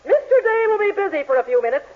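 Bossy outraged matron, high and swooping, old radio comedy, tinny sound. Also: female voice, sing-song, fast, background noise. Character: domineering, outraged older matron. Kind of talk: 1940s radio comedy acting. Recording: tinny 1940s radio recording.

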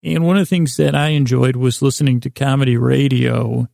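Creaky, rambling man, soothing bedtime host. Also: medium pitch, fairly even intonation, a little mellow, no room sound, studio quality. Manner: meandering, halting, stumbles over words. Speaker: podcast host and bedtime storyteller. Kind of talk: rambling, soothing sleep podcast monologue.

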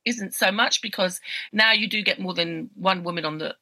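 A woman's voice: mid-range voice, normal speed, pushed and bright, no echo. A woman comedian and comedy promoter, chatting as a podcast guest, telling anecdotes with frequent laughter. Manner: chatty, laughs often, self-deprecating anecdotes. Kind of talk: casual chat.

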